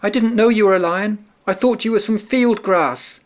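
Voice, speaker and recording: A man voicing a timid, polite little male mouse that pleads quickly and eagerly. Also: high voice, voice pushed up, natural voice. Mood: eager pleading.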